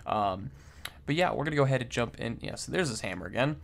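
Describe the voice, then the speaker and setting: Gravelly young man hosting game videos. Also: medium pitch, expressive, fast. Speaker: gaming video host. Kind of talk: casual game commentary.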